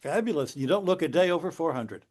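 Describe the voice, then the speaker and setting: Man, host, slightly high voice, playful teasing questions, video call. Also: animated, fast talker, a little mellow.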